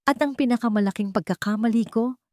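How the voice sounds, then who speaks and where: A woman's voice: on the high side, fast talker, mellow, no echo, studio quality, voice pushed up, lightly acted. A Filipino woman voicing a regretful, earnest male CEO in a romance audio story, speaking in a solemn confessional manner.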